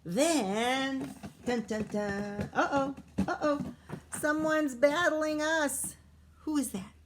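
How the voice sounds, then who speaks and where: Primary teacher, female, slightly high voice, very measured pace; expressive children's read-aloud with coaxing asides. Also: slight background noise. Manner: expressive, sing-song story reading, coaxing questions to young listeners. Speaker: primary school teacher reading aloud to pupils.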